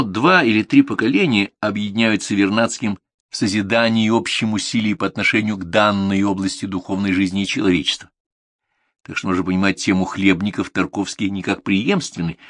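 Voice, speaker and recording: A man giving a slow, essay-like lecture in a measured, emphatic manner, his pitch rising suddenly on stressed words. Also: fairly bright tone, no room sound, studio quality.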